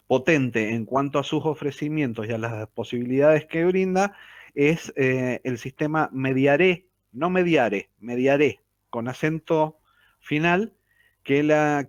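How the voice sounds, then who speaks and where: Rioplatense man presenting as a mediator, higher voice, repeats himself, dry close recording. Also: no echo. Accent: Rioplatense Spanish. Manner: repeats key phrases, frequent "¿sí?" check-ins. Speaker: mediator giving a talk. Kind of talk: lecture-style presentation.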